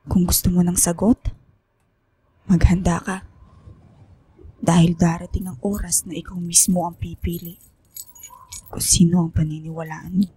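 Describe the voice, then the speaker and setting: A Filipino actress playing a secretive, stern woman professor who guides others among spirits, speaking gravely and deliberately in a radio horror drama. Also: fairly low pitch, flat delivery, mellow, no echo. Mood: grave, cryptic.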